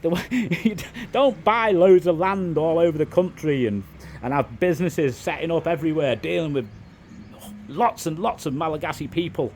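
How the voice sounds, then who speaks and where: A middle-aged man with a softened Liverpool accent, speaking in a somewhat high voice. He rambles through a casual vlog monologue, restarting sentences and circling back to the same phrases. A faint noise sits underneath the recording. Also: normal speed. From England. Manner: rambling, frequent restarts and "it is what it is". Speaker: vlogger, property developer.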